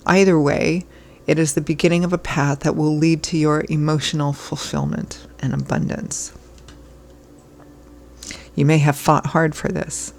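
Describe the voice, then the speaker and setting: Hoarse, gravelly woman tarot reader. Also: low-pitched, a little mellow. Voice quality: froggy. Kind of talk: casual astrology reading.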